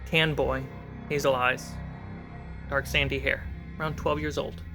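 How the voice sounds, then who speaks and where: A man narrating a tabletop fantasy game as game master, describing scenes in short measured phrases. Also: mid-range voice, little pitch movement, over music.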